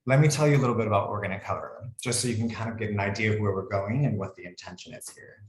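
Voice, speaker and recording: Low-voiced American man presenting a webinar. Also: very fast, roomy sound. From United States. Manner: relaxed, conversational, frequent ums and "cool". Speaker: commercial lawyer presenting a webinar.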